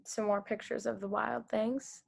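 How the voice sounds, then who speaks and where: A woman hosting a read-aloud for children over a video call, reading the story and asking them gentle questions. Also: a little high, level tone, unhurried, vocal fry, breathy edge. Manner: gentle, encouraging, with small restarts.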